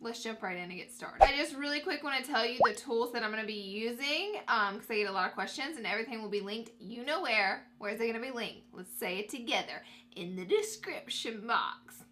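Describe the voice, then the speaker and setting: Young woman beauty vlogger, congested and nasal, upbeat chatty tutorial delivery, crisp bright tone. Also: fairly high pitch, animated, brisk. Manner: upbeat, addresses viewers as guys. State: stuffy nose from allergies.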